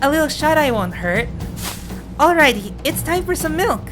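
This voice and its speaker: A woman's voice: sits high, big pitch swings, moderate pace, pitched above the performer's own voice. A woman voicing an easygoing, lazy young giant shepherd from a game in a laid-back, childlike manner. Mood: carefree, playful. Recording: music behind the voice.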